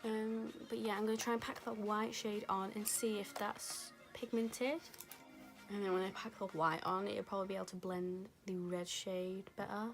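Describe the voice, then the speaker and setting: A young woman, rambling casually through a makeup tutorial, her voice going hoarse. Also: medium pitch, little pitch movement, normal speed. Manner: chatty, rambling, lots of fillers. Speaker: beauty vlogger. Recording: music playing behind.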